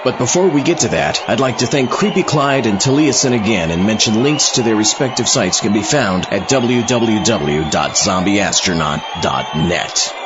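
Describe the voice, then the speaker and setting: A man's voice: lowish voice, animated, normal speed, few pauses, a crowd in the background, noisy background. A man hosting a podcast with scripted comic narration in a dry, wry manner. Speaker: podcast host. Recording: slightly muffled, loud music behind. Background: music.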